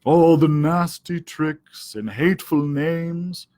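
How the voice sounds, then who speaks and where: A man voicing a sly, droll male cat in a storybook, with a slow, mock-gloomy drawl. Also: on the high side, warm tone. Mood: mock mournful.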